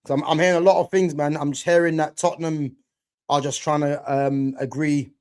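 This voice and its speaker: A man's voice: fairly high pitch, flat delivery, fairly quick, a little mellow. A young man from England chatting casually with viewers while watching football live, slangy and full of restarts. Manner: chatty, slangy, talks to chat, restarts often. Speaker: live watch-along streamer.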